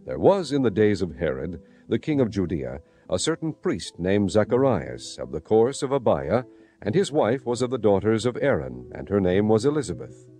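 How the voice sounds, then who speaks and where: A man's voice: on the low side, sing-song, moderate pace, mellow. A man narrating scripture dramatically, in a solemn storytelling manner. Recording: music behind the voice.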